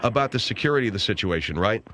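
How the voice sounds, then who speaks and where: A man's voice: on the low side, expressive, very fast, sharp tone, slight background noise. An American man hosting casual, rambling talk radio with a wry, grumbling, sardonic manner. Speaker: radio talk show host. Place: radio studio. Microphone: close studio microphone.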